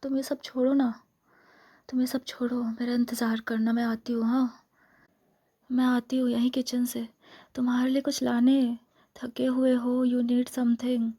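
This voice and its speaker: A woman acts out a possessive, scheming vampire girlfriend in a romantic ASMR role-play. Her Indian-accented voice is breathy and soft, often dropping into a whisper. She speaks slowly and keeps the melody very even, tenderly coaxing and lingering on repeated phrases. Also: on the high side. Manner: intimate, coaxing, lingering repetitions.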